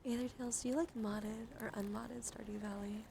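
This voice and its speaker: A woman livestreaming ASMR, chatting softly and soothingly to her viewers with affection, drifting between whispers and gentle speech. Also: on the high side, little pitch movement, a little fast, vocal fry, noisy background. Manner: affectionate toward listeners. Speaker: live streamer hosting ASMR. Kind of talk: ASMR, soft intimate chat with live viewers.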